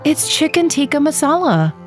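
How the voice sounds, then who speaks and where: A woman's voice: sits high, very animated, moderate pace, faint music behind. An actress playing an unimpressed, nagging wife and mother, plainspoken and matter-of-fact, in an audio horror drama. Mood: exasperated, patient. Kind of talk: audio horror anthology acting.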